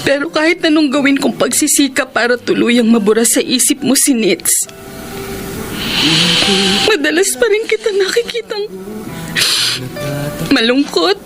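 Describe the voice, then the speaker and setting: A woman with a Filipino accent playing a hurt, self-sacrificing partner in a radio melodrama. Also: high voice. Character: self-sacrificing, hurt woman Alice, gentle and long-suffering. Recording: radio drama with loud music bed.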